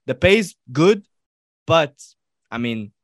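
A man's voice: fairly high pitch, very animated, slow, dry recording. Casual man co-hosting, dry close sound. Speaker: podcast co-host. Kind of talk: casual chat.

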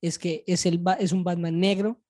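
A Colombian woman with a lowish, dark and mellow voice co-hosts a casual chat. She is blunt and very colloquial, dropping slang and swear words freely. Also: fairly even intonation, normal speed. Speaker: podcast co-host. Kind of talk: casual chat about pop culture.

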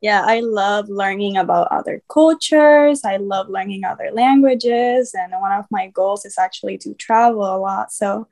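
A woman's voice: mid-range voice, moderate pace, vocal fry, hardly any pauses, a little mellow. A woman, speaking earnestly as a guest on a video call. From Puerto Rico. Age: 20s. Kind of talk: casual video-call chat.

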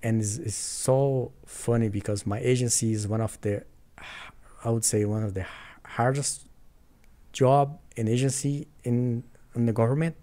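Brazilian man speaking earnest halting English. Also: mid-range voice, steady tone, warm tone. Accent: Brazilian Portuguese. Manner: earnest, frequent restarts, non-native English. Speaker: guest. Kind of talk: casual interview conversation.

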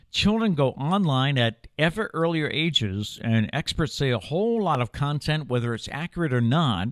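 A man's voice: mid-range voice, fairly bright tone, no echo, clean studio sound. A man in his fifties with an American accent, hosting a morning show with news and casual talk. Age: 50s.

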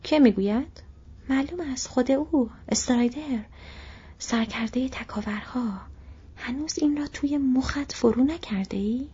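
A woman voicing an eager, loyal young male hobbit servant who exclaims excitedly, in a dull lo-fi recording. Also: high-pitched, a little hiss or hum, voice pushed up, lightly acted.

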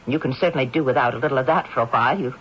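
An actress playing a spirited unmarried woman who owns an old house, brisk and exasperated with the ghost and polite with her guests, in a 1970s radio drama. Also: a bass voice. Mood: retorting. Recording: dull old radio broadcast with faint hiss.

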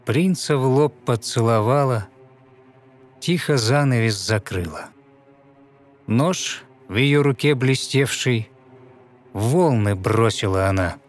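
A man narrating a fairy tale in verse with measured, theatrical, expressive recitation. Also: medium pitch, soft background music.

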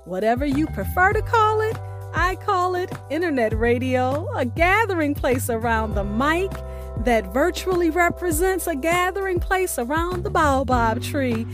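Female radio host, high pitch, scripted intro, theatrical emphasis, music underneath. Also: expressive, unhurried. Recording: voice over music bed.

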